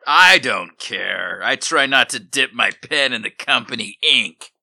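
A man's voice: medium pitch, expressive, measured pace, gravelly, sharp tone, no echo. A man playing in a tabletop game, bantering in a crass, wisecracking way.

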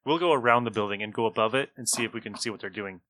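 A man acting as game master, narrating a tabletop adventure in an informal, halting way. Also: on the low side, pushed and bright, low-quality recording. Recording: music under the talk.

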